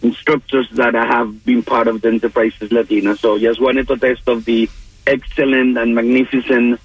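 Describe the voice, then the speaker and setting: A man calling in to a radio show, speaking haltingly with many restarts and repeated words. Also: mid-range voice, flat delivery, dry recording. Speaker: caller. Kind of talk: call-in comment. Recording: dull, husky phone line.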